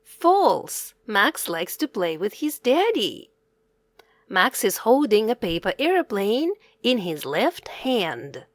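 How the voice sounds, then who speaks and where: A woman's voice: mid-range voice, sing-song, slow, dry recording. A woman reading a children's story aloud in simple, separated phrases with a teacherly manner.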